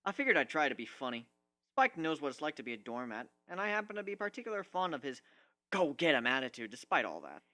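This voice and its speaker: A male amateur narrator reads fiction dramatically in the first person. His voice sits a little high and is bright and forceful, with lively, wide-ranging intonation. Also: fast, dry recording.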